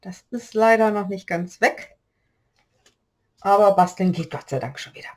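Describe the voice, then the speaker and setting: A woman with a slight cold-hoarse voice, chatting through a step-by-step paper-craft tutorial and explaining the measurements as she works. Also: mid-range voice, moderate pace. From Germany.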